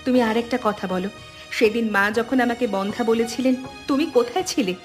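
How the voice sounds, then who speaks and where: A woman's voice: on the high side, brisk, darkish tone, faint music behind, natural voice. A woman voicing a hurt, self-respecting young daughter-in-law in a family cartoon drama, earnest and assertive. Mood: hurt, reproachful.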